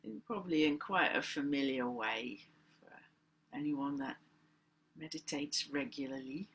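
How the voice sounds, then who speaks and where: A woman guides a meditation with unhurried, very slow delivery. Her voice is husky and crackles with fry, yet has a bright edge. She gives gentle, deliberate instructions and leaves long pauses between phrases. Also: low voice. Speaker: meditation teacher.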